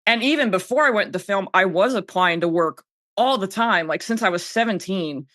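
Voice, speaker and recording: A podcast host in their thirties with a bright, forward voice, recorded close and dry. The delivery is casual and candid, often slipping into animated self-talk as if replaying inner thoughts. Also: mid-range voice, moderate pace, no echo.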